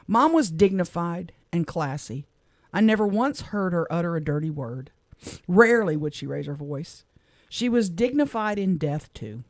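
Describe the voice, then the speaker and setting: A middle-aged woman from Louisiana, a radio co-host, speaking softly and with emotion as she reads a personal letter on air in a studio. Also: on the low side, animated, normal speed, no echo. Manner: heartfelt, soft-spoken, emotional.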